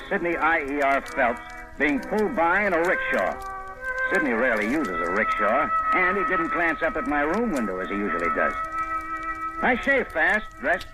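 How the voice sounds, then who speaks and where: An actor playing a wry, world-weary foreign correspondent, narrating and starring in an old-time radio drama with a breezy, hard-boiled manner. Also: male voice, on the high side, rough recording. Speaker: narrator and lead.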